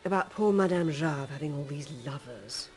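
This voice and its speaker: An actress playing a detective's middle-aged wife in a radio drama, conversational, sympathetic and gently disapproving. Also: female voice, low-pitched, lively intonation, normal speed. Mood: questioning. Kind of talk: naturalistic radio drama acting.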